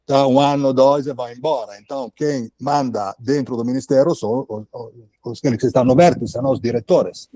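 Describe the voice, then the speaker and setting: Casting: male panel guest with a soft, dark tone and average pitch, speaking off the cuff. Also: a little fast. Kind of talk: unscripted political opinion in a panel.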